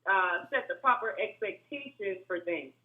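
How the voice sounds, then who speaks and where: A woman calling in to a talk show, speaking in a monotone, hard-edged voice, earnest and steady. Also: medium pitch, normal speed. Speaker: caller. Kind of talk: phone-in comment. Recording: tinny phone line.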